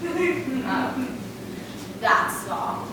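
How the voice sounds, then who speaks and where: A woman's voice: sits high, expressive, extremely slow, heavily acted. Echoey, haughty Hollywood diva, played by a woman. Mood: displeased. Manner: haughty, sarcastic, theatrical delivery. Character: imperious, vain Hollywood diva, cutting and demanding. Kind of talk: stage farce acting. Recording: echoey, noisy stage recording from far off.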